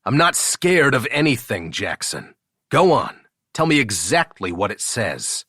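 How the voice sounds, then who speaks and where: A man's voice: medium pitch, sing-song, unhurried, sharp tone, breathy edge, no echo, studio quality, voice pushed up, exaggerated voice. A man voicing an irritable, commanding billionaire boss with a terse, blunt, exasperated manner in an audiobook. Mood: annoyed. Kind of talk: audiobook character dialogue.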